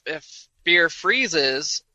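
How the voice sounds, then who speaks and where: A man's voice: on the high side, animated, extremely slow, pushed and bright, dry recording, muffled recording. A young man co-hosting a casual podcast chat, blunt and opinionated, with lots of fillers like "like" and "uh.". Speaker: podcast co-host.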